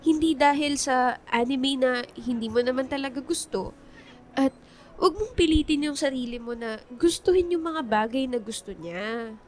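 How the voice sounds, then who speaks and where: A woman's voice: high voice, moderate pace, lightly acted. A woman acting as a caring but exasperated young best friend in a radio drama, earnest, dramatic and scolding. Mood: earnest, concerned.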